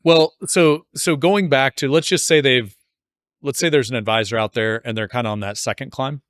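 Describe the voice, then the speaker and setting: A man's voice: mid-range voice, moderate pace, a bit bright, no echo, studio quality. A man hosting a podcast interview in a casual, informal, jokey way, building up loosely to his questions. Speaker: podcast host interviewing an author.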